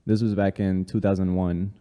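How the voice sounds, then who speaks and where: Deep-voiced man from Colombia, mellow tone. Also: moderate pace, smooth, in a large hall. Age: 30s. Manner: relaxed, with many fillers. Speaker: guest. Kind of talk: casual interview conversation.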